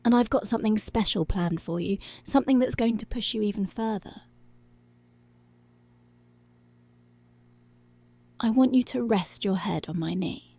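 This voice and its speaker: A woman playing a dominant, seductive policewoman in an intimate ASMR roleplay, giving slow, deliberate, breathy commands that often drop to a whisper. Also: mid-range voice, mellow. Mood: soft, controlling. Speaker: ASMR voice actor.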